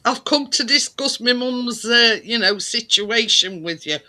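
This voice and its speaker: High, bright-voiced hesitant man. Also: expressive, unhurried. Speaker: visitor discussing his mother's care. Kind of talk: casual chat.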